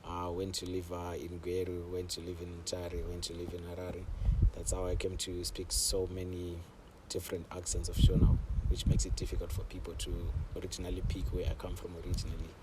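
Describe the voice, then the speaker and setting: A young man from Zimbabwe, a safari camp host, telling his story in a relaxed way with frequent fillers, interviewed outdoors on a camp deck. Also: low-pitched, brisk, very noisy. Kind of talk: conversational interview.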